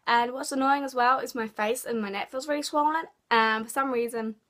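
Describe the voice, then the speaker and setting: A young woman talks to her laptop camera from her bedroom, her voice hoarse and cracking from illness. She pitches a little high and rambles in a loose, apologetic way, like a casual daily vlog. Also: steady tone, fairly quick, fairly bright tone. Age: young adult. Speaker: vlogger. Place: bedroom at home, indoors.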